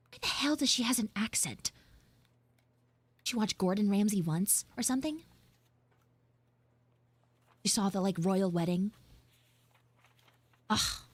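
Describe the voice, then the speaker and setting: A woman voicing a sassy, flustered high school tsundere frog girl in a casual, blunt, rambling live audio roleplay. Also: no echo. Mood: scornful. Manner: blunt, snarky, swearing, rambling asides.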